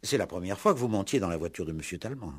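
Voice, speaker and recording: A probing male police commissioner in a sixties radio play. His voice is low and husky, with very lively intonation. He questions curtly and methodically, dry and sceptical, often just grunting a short prompt. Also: racing. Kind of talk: 1960s French radio play acting.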